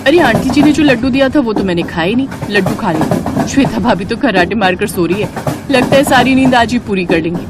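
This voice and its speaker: A woman's voice: fairly high pitch, expressive, brisk, very noisy, lightly acted. A woman voicing an alert, polite young woman, earnest and girlish, in a cartoon story. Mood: musing, fond. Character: alert, brave young woman travelling by train.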